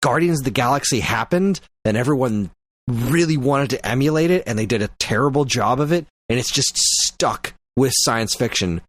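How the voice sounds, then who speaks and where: A man co-hosting a casual podcast chat, opinionated and quick to get heated, swearing freely. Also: mid-range voice, lively intonation, a bit bright. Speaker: podcast co-host.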